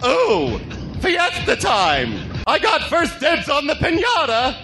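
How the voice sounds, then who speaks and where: Crazy gleeful clown villain, way high and swooping all over, comic book radio play, music under it. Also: male voice, huge pitch swings, slow pace, vocal fry, exaggerated voice. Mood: gleeful, taunting.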